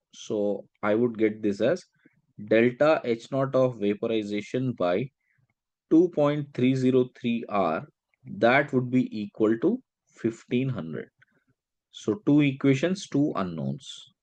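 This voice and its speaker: Male science teacher, medium pitch, working through problems step by step with frequent check-in questions, recorded dry. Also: darkish tone, no room sound, muffled recording. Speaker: chemistry teacher. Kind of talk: step-by-step worked-problem lecture.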